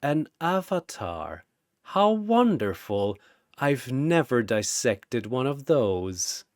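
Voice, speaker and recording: A man voicing a sinister mad scientist in a story, soft, clinical and coldly fascinated. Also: mid-range voice, sing-song, slow, no echo, studio quality. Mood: sinister delight.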